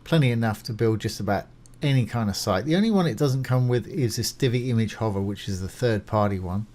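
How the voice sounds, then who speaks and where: Man presenting a casual software tutorial. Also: lowish voice, a little fast. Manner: informal, reassuring, explains each step as it is done. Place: voice-over of a screen recording.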